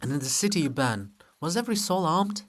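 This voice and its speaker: A man's voice: fairly high pitch, sing-song, brisk. A man voicing a bold, sly, devoted sister in a theatrical, teasing, insistent stage reading. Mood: provoking. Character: Sister Lybid, bold, sly, devoted female river spirit.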